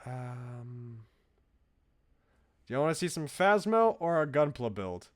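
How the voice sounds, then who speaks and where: A man's voice: mid-range voice, very animated, slow pace. Casual male game streamer. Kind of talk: casual livestream chat.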